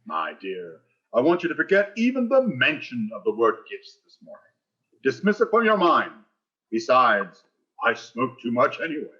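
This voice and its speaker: A man's voice: medium pitch, huge pitch swings, normal speed, bright, forceful tone, highs cut off. Man playing a pompous, swooping, greedy school principal. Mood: slyly wheedling. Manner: pompous, florid, insinuating. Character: pompous, wheedling, greedy male school principal, falsely magnanimous. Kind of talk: 1940s radio sitcom acting.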